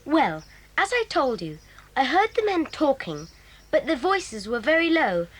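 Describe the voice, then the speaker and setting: A woman voicing an excitable, eager schoolboy who talks in a lively, chatty, breathless way in a 1980s children's audio drama. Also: high voice, a little slow, poor audio.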